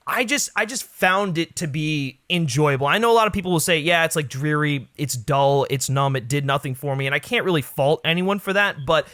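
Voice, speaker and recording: A man's voice: on the high side, fairly quick, few pauses, a bit bright, dry recording, clean studio sound. A man as a podcast guest, giving casual film opinions with lots of hedging and "like". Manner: hedging, filler-heavy talk with frequent "like".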